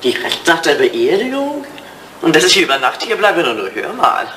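Elderly English lady, radio drama: forthright widow, very lively intonation, playful jabs, old roomy recording with faint noise. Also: deep voice, moderate pace. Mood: pensive, frank. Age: older. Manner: playful, pointed, animated. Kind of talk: German radio play dialogue, retro 1980.

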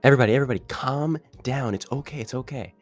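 A man's voice: mid-range voice, faint music behind. A man giving opinionated, ranting commentary on a reality show contestant in a casual monologue. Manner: opinionated, ranting, quick with restarts.

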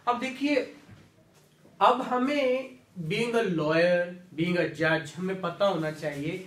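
A man's voice: high voice, very slow, fairly bright tone. A man in his thirties with a Pakistani accent teaches law, mixing in English legal terms, repeating key words and often checking that students follow. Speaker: law lecturer. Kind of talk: classroom lecture with questions to students. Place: small indoor teaching room with whiteboard. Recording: echoey room, mic at a distance.

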